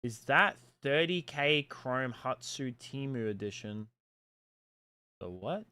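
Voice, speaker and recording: A man's voice: medium pitch, animated, slow pace, pushed and bright, clear, resonant tone, no room sound. A man in his late twenties with a mild Australian accent, chatting casually as a streamer and answering viewer comments in a blunt, profane way, with lots of fillers. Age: late 20s.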